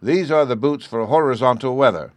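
A man's voice: mid-range voice, brisk, no room sound, pitched above the performer's own voice, natural voice. A man voicing a jolly, absent-minded old professor who talks in a fussy, distracted way.

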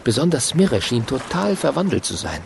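A man's voice: medium pitch, big pitch swings, normal speed, gravelly, noisy background. A man narrating a children's radio play in a vivid storytelling manner. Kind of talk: scripted audio drama narration.

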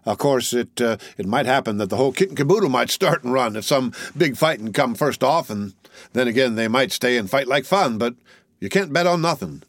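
A man's voice: mid-range voice, expressive, fairly quick, no room sound, natural voice. A man reading aloud and voicing a boastful tall young soldier who talks in a drawling, folksy way. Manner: drawling, folksy, sure of himself.

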